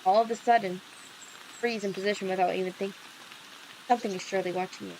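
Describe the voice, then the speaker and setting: A woman's voice: medium pitch, steady tone, fast, darkish tone, slight background noise, low-quality recording. A woman livestream host reading a visual novel aloud, with playful casual reactions. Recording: background music under the voice. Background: music.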